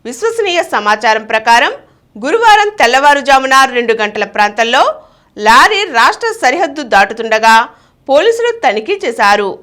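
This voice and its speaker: Female news reader, high pitch, very varied melody, scripted bulletin delivery. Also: big pitch swings, moderate pace, sharp tone.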